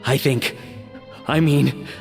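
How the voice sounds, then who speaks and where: A man's voice: mid-range voice, fairly even intonation, slow, vocal fry. A man playing an earnest, weary father and chef in an anime video game, apologetic and a little hesitant. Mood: earnest, unsure. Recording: long reverb, faint game music.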